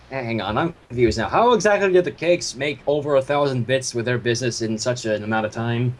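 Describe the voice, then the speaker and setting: Husky, animated story reader. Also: mid-range voice. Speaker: fan fiction reader. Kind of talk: story narration with casual commentary.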